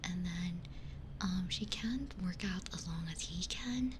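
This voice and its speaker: A woman whispering breathily and almost without voicing, in a hushed, conversational ASMR livestream style, close to a binaural microphone. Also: fairly low pitch, background noise. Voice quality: whispered, breathy, mostly unvoiced. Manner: hushed, unhurried conversational musing with pauses. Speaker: ASMR streamer, host. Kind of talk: ASMR, chatting with live chat.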